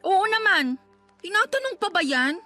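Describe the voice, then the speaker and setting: A young woman acting a careless, irritable girlfriend in a radio drama, quick to snap back. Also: very high voice, very animated, pushed and bright, faint music behind, full character voice. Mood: irritated. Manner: snappy, dismissive, defensive.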